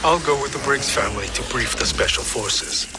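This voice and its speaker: An actor playing an earnest, resolute warrior ally in a video game drama. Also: male voice, mid-range voice, expressive, normal speed, close to an everyday voice. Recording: loud music behind the dialogue.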